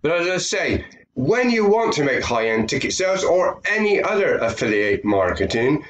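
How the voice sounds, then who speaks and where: A man's voice: fairly high pitch, very animated, normal speed, poor audio. An older British man from the UK, nasal from a head cold, giving a rambling webcam talk full of fillers and repeats. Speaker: affiliate marketer. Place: small room indoors.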